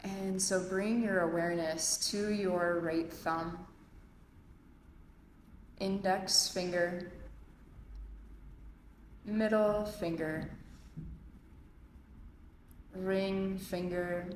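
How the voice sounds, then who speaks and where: Female meditation guide, calm and very unhurried, measured phrasing, live in an echoey studio with faint noise. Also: on the low side. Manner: calm, measured, with long pauses between phrases. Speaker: yoga nidra instructor. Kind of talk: guided meditation, spoken instructions. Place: indoor urban yoga studio, recorded live. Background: faint ambient room and city noise.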